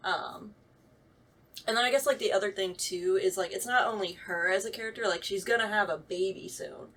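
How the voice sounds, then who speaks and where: Female talkback guest, off-mic with faint room ambience, mid pitch, loose conversational delivery that circles its point. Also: fairly quick, fairly bright tone. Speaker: voice actor on a talkback show. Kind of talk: casual chat. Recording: distant mic with clear background noise.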